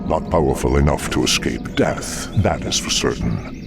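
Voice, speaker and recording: An actor playing an arrogant, sly vampiric dreadlord in a video game, speaking in a slow, sneering way with a gravelly voice. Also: male voice, extremely low pitch, exaggerated voice. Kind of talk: video game cutscene acting. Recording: game audio over loud music.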